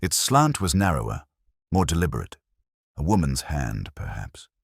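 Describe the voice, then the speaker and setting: Really low male voice reading detective audio drama, weary reflective older sleuth, measured, dry close sound. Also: very animated, gravelly, husky, no echo. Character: ageing male detective, melancholy, contemplative, world-weary. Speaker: narrator. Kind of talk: scripted first-person audio drama narration of a detective mystery.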